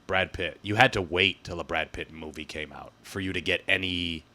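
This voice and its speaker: A young man hosts a casual talk show with a very low voice that still carries a bright, forward edge. He keeps things relaxed and laughs often between remarks. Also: normal speed. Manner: laid-back, laughs easily. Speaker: podcast host. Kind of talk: casual chat.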